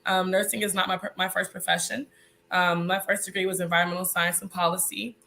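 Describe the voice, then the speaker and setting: Guest: 30s woman, stuffy nose, bright nasal voice, laughs easily. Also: on the low side, flat delivery, fairly quick, slight background noise. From Mississippi, US. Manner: chatty, laughs often. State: congested, a little nasal. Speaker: guest, nurse. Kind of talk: casual interview.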